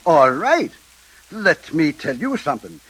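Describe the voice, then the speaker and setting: Irish doorman, old radio play. Talkative, eager to please, highish voice, lots of lilt. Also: sing-song, slow pace, fairly bright tone, husky, exaggerated voice, poor audio. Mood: reassuring. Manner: garrulous, repeats himself, cheerful. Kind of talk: old-time radio acting. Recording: hissy old radio recording.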